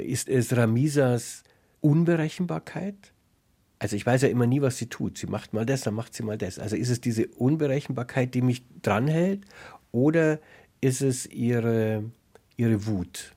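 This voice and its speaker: A man hosting a radio arts interview, asking questions in a reflective, deliberate way. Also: mid-range voice, warm tone, husky. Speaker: host, interviewer.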